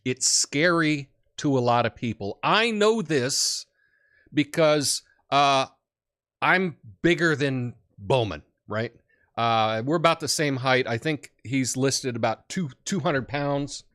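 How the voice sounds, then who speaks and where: A man hosting a political commentary show, who talks in an opinionated, rambling way with many fillers and has a big booming voice. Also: animated, slow, a bit bright. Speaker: podcast host.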